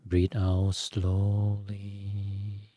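A man leading a guided meditation as a teacher, giving gentle instructions in short phrases with long pauses. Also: on the low side, completely flat delivery, no room sound. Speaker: Buddhist monk, meditation teacher.

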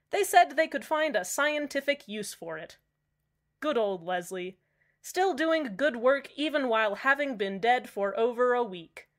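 A woman playing an eerie small-town community radio host in a scripted fiction podcast, deadpan and measured, with deliberate pauses. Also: on the high side. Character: eerily cheerful female small-town community radio host, uneasily upbeat. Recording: music under the voice. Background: background music.

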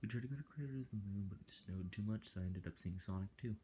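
A woman's voice: rumbling low, very fast, dark tone. A young woman vlogging casually on her phone, chatting about her trip and a stray dog that wandered into the yard. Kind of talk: casual vlog chat. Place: porch and house, outdoors and in. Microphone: handheld phone camera. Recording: noisy phone audio outdoors.

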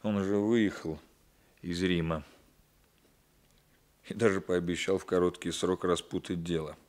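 A principled captain of police in a vintage radio play. His voice sits low, and he speaks formally and with restraint, with a dry ironic edge. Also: fairly even intonation, a little slow. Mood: wry. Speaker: radio drama actor. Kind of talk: 1960s Soviet radio play acting.

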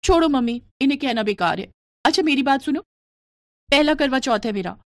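Woman voicing a high, whiny young newlywed. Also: animated, brisk, dry recording, clean studio sound. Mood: excited, bossy. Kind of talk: animated moral story dialogue.

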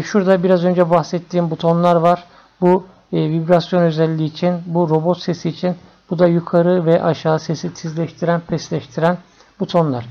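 A man narrating an informal electronics tutorial in an unhurried, matter-of-fact way. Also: a little high, creaky, mellow. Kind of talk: informal explanatory screencast narration. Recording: dull, lo-fi screencast audio.

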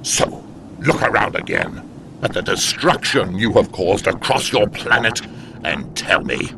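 Demon overlord: deep, gravelly, bitter and theatrical menace for audio drama. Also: male voice, expressive, noisy background. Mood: furious. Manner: slow, theatrical, taunting and accusing. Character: wrathful, menacing demon overlord, grieving for his race.

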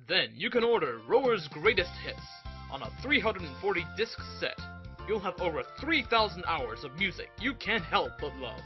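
A man plays a pushy television pitchman with a high, swooping voice. He sells emphatically in parody infomercial style, over music in a slightly muffled recording. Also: sing-song, normal speed. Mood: upbeat selling. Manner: salesy, emphatic. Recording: dull lo-fi audio over music.

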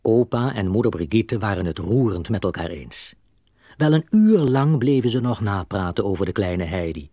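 Low-voiced male storyteller, dry recording. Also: animated, moderate pace, warm tone, no echo. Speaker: narrator. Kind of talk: scripted storytelling narration for children.

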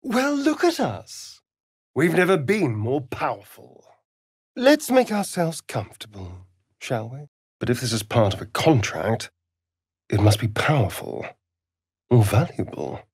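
An actor with a British accent playing a sardonic, preening vampire rogue in a fantasy video game, with an arch, drawling, theatrical delivery. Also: male voice, medium pitch, dry recording, studio quality, exaggerated voice. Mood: smug, then sly, teasing.

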